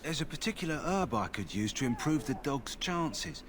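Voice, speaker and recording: An actor playing a practical middle-aged kennel master in a fantasy video game, talking in a plain, matter-of-fact way. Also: male voice, fairly high pitch, expressive, normal speed, a crowd in the background. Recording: game audio with clear background noise.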